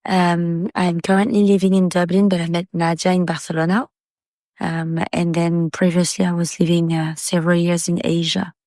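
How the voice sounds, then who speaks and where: A woman's voice: lowish voice, little pitch movement, moderate pace, a little mellow, no room sound, clean studio sound. A woman from Paris, France, chatting casually as a guest on a podcast. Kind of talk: casual chat.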